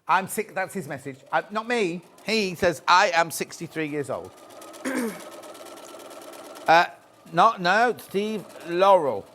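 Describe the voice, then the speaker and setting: A man in his sixties co-hosts a live studio craft show. His pitch rises and falls a lot as he banters and teases. Faint clicks sit in the background. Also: expressive, takes it slowly, pauses often, bright, forceful tone, a little hiss or hum. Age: 60s. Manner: teasing, chatty, reads out viewer messages. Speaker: co-host. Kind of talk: casual chat.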